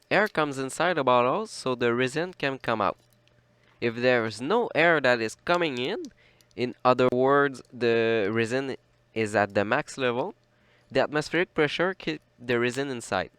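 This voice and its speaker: A man with a French accent narrates a scripted tutorial. His voice is of average pitch, smooth and clearly formed, though he is a little off with flu. It is recorded close and dry, with hardly any room on it. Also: a bit bright, no echo. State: sick with flu, slightly odd voice. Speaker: presenter of a 3D printer build series.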